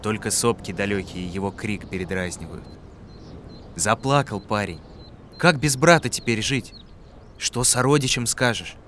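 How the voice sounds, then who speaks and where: A man narrating a folk tale in a calm, rhythmic storytelling voice. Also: on the low side, a little slow, a little hiss or hum. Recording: clear music behind.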